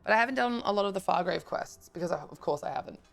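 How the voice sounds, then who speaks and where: A woman streaming live, her voice croaky, chatting casually with viewers and thanking them as she plays. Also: fairly low pitch, animated, quick pace. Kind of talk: casual live-stream chat while gaming.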